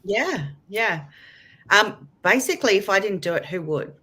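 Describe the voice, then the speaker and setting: Aussie woman on a panel, bright sharp voice, kinda flustered and chatty. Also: medium pitch, animated, normal speed, slight background noise. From Australia. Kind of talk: casual panel discussion.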